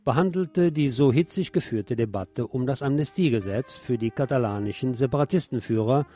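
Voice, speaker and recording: A male radio presenter reads prepared news and commentary in a voice of average pitch. Music plays faintly behind him as he goes through the script. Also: brisk, warm tone.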